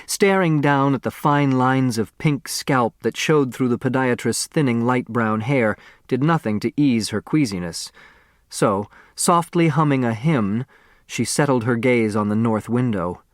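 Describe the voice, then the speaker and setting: A man's voice: medium pitch, unhurried, dry recording. American man narrating, very dry sound. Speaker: audiobook narrator. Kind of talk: scripted storytelling narration. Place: dry studio.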